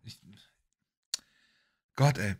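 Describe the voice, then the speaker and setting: A man's voice: extremely slow, a little mellow. Sarcastic man joking on a podcast. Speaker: podcast co-host. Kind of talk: casual chat.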